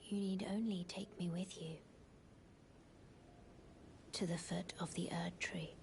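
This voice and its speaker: An actress playing a mysterious maiden guide in a fantasy game, soft-spoken, formal and solemn, with a breathy, half-whispered delivery. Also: female voice, lowish voice, fairly even intonation, slight background noise. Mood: calm, earnest. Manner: formal, measured, hushed. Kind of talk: scripted fantasy game dialogue.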